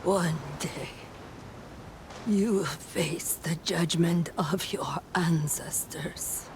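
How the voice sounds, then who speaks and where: A woman playing an older, menacing and contemptuous spectral war leader, with a breathy voice and ominous, incantatory delivery in a dramatic video game. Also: on the low side, drawn-out words, noisy background. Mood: ominous curse.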